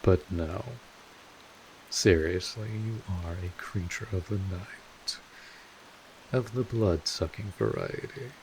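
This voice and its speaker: A man playing a wry, laid-back vampire mentor in an intimate audio drama, casual and sardonic, with drawn-out pauses, protective and a little world-weary. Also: low-pitched, a little hiss or hum, low-quality recording. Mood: calm, explaining.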